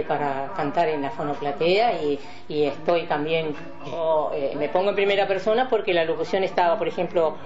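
An older woman with a Uruguayan accent, a veteran announcer reminiscing in a radio interview in a halting, rambling way. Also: low-pitched, highs cut off.

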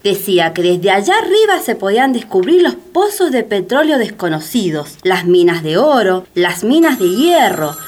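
A woman's voice: medium pitch, big pitch swings, normal speed, hardly any pauses, darkish tone. A woman playing a knowing, sceptical companion who explains things in a radio play. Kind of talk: radio theatre acting.

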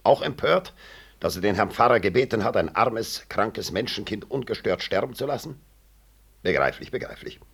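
Radio drama: low, gravelly senior professor, sharp and sarcastic, swooping intonation. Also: male voice, very animated, normal speed, a little hiss or hum, exaggerated voice. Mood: mocking. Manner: biting irony, rhetorical questions. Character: combative, sarcastic senior medical professor defending his colleague. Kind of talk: 1960s German radio play acting.

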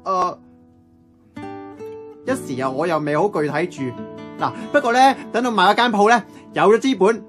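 A man playing an eager, impatient young nephew in a radio drama, animated and colloquial, with a Hong Kong accent. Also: high-pitched, moderate pace, pauses often. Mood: frustrated, pleading. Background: plucked guitar music.

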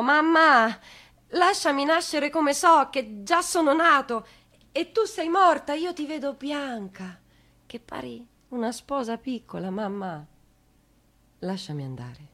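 A woman voicing a pleading dead boy who calls to his mother in short, halting phrases, in a theatrical monologue. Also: fairly high pitch, sharp tone, full character voice.

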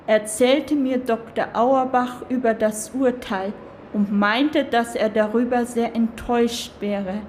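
Slow-speaking formal nurse witness over music. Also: female voice, a little high, darkish tone, a little hiss or hum. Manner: measured, factual, formal. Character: dutiful female nurse giving a formal witness statement. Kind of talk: scripted radio drama, testimony.

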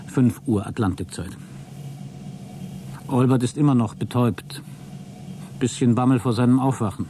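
An actor playing a deluded, exhausted astronaut who keeps a logbook in a radio play, halting, self-justifying and grandiose. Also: male voice, fairly low pitch, noisy background, close to an everyday voice. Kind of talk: dramatic radio play monologue.

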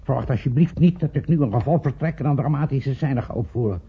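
An actor playing a dry, ironic husband of nearly seventy in a radio play, his voice husky and creaky. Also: medium pitch, fast. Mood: dry disbelief. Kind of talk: radio drama acting. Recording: narrow, phone-like old radio recording.

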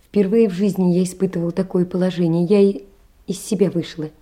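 An actress in an audio drama playing a distressed but proud young poor woman, pleading earnestly in a soft, hushed voice. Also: lowish voice, steady tone, fairly quick, natural voice. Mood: shaken recounting. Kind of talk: theatrical audio drama letter monologue.

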